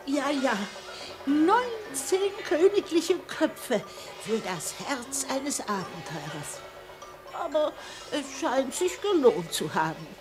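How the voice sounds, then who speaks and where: An actress playing a wry onlooker in a 1950s radio play, speaking in a knowing, theatrical manner. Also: female voice, high voice, big pitch swings, slow pace, music in the background, exaggerated voice. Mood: wry, satisfied.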